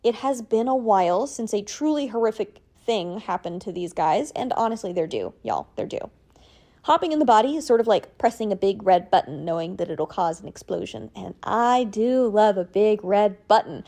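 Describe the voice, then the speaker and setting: Casting: woman as podcast listener sending a voice note, soft-toned, wry, measured and informal. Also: mid-range voice, expressive. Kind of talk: casual personal voice message with opinions.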